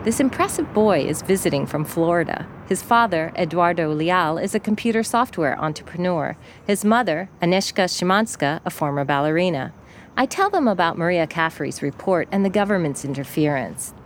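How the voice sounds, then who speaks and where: A woman reporter with a lower voice narrates a radio feature and puts measured, probing questions to people. She is interviewing them outdoors, and faint traffic hum sits under her voice. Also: expressive. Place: outdoors by a flooded park, traffic nearby.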